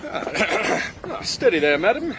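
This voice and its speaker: An actor playing a smooth-talking English crook in a radio drama, menacing under a clipped, falsely courteous manner. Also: male voice, high-pitched, sing-song, takes it slowly, heavy background noise, exaggerated voice.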